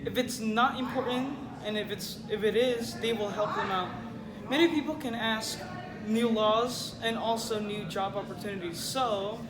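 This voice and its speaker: A woman giving scripted news commentary, halting and repeating phrases. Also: on the high side. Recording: echoey room with crowd chatter.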